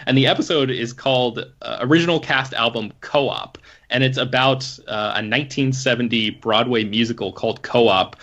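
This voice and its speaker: A man's voice: mid-range voice, normal speed, a bit bright. A man hosting a casual podcast chat, rambling and hedging as he talks. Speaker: podcast host. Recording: slightly dull podcast audio.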